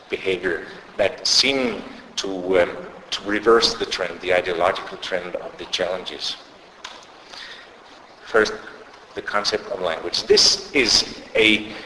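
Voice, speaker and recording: A man's voice: fairly low pitch, sharp tone, slight background noise. A middle-aged man with a Danish accent, a sociolinguist giving a conference lecture with many hesitations and restarts. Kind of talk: academic conference talk. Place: lecture hall. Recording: echoey lecture hall, faint ambience.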